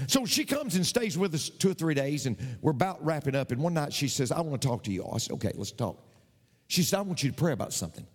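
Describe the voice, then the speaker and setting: Male pastor, lively high voice, long hall reverb, storytelling preacher. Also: quick pace, breathy edge. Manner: animated, builds to shouted preaching. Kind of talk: sermon storytelling.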